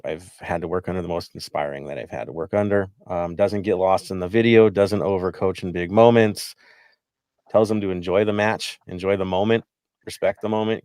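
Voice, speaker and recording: Deep man explaining, "right?" after points. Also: smooth, no echo. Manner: measured, explanatory, frequent "right?". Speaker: podcast panelist. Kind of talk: casual podcast discussion.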